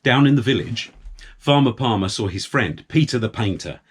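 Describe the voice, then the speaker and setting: A man narrating a story for young children, with deliberate, dramatic phrasing. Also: mid-range voice, lively intonation, slightly rough.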